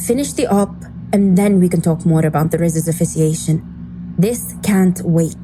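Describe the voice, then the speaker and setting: A woman's voice: on the low side, normal speed, over music, lightly acted, low-quality recording. A woman playing a serious, blunt covert agency officer briefing a team in an audio drama. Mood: grave, firm. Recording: clear background noise and ambience.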